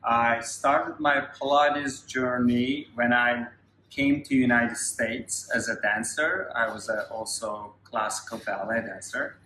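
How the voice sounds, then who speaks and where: A man's voice: mid-range voice, steady tone, slow pace, sharp tone. A man from Turkey with a Turkish accent, a Pilates educator who explains things earnestly and step by step. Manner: earnest, explains step by step with small grammar slips. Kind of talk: casual interview. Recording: echoey room with faint noise.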